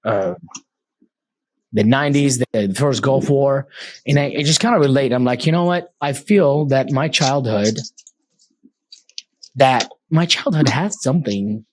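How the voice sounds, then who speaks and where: Brief: co-host, thirties, from Iraq, earnest and personal, dry mic. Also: male voice, mid-range voice, unhurried, long pauses, no room sound, rough recording. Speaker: podcast co-host. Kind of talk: casual podcast interview.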